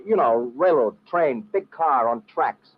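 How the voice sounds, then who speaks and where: An actor playing a brash, wisecracking American adventurer, slangy and clipped, in an old-time radio drama. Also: male voice, medium pitch, lively intonation, unhurried, husky. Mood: patient, determined. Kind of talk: old-time radio adventure acting with first-person narration. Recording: dull, hissy old radio recording.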